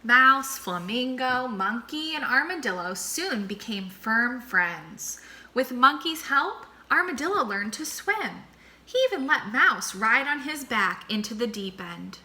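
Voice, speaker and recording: A woman teacher reading a picture book aloud to children, slow and expressive, then posing questions to them. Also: on the high side. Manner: slow, expressive and warmly animated.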